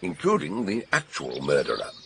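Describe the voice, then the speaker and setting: An actor playing a blunt British detective who reasons emphatically in a radio mystery drama. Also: male voice, lowish voice, huge pitch swings, gravelly, slight background noise, full character voice. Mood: emphatic, argumentative. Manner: emphatic, argumentative, deliberate.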